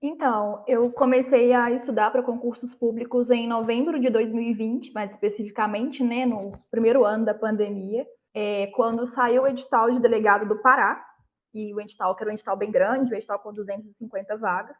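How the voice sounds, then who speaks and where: Female interviewee, 30s, Minas Gerais Brazilian accent, higher pitch, conversational and explanatory, thin band-limited call sound. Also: steady tone, brisk, narrow, tinny audio. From Belo Horizonte, Brazil. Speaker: interview guest, lawyer who passed a prosecutor exam.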